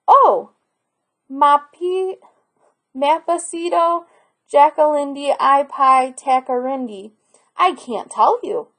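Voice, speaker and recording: A woman's voice: high voice, slow, long pauses, a bit bright, full character voice. A woman voicing an earnest, pleading young boy in a storybook read aloud.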